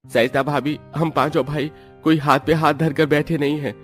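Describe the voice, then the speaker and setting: A man's voice: on the high side, level tone, moderate pace, faint music behind, lightly acted. Man playing a grumbling young village husband. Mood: defensive, grumbling. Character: defensive, hard-up young village brothers. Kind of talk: Hindi cartoon story dialogue.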